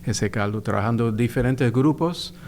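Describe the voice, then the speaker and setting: An older man from New York, a pastor guest, speaking haltingly with many fillers. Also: medium pitch, darkish tone, slight background noise. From New York (Bronx).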